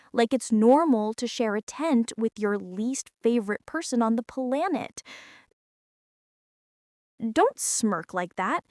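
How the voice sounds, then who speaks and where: A woman performs a bratty schoolgirl bully in an intimate ASMR roleplay. Her voice sits fairly high and swings expressively through sarcastic, exasperated grumbling. The sound is very dry, as she grudgingly addresses the listener. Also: darkish tone, dry recording. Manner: whiny, sarcastic, exasperated complaining with sighs. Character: bratty teenage girl bully, grumbling, secretly softening toward tentmate.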